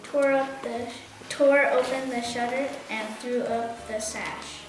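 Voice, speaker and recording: A woman reciting holiday verse for a puppet show, reading haltingly. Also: on the high side, fairly even intonation. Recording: roomy echo with clear background music. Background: music.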